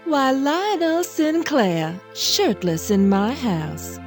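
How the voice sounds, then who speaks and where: Slow-drawling spoiled Southern belle. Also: female voice, sits high, very animated, soft background music, full character voice. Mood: flirtatious, flippant. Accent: Southern American. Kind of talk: audio drama acting.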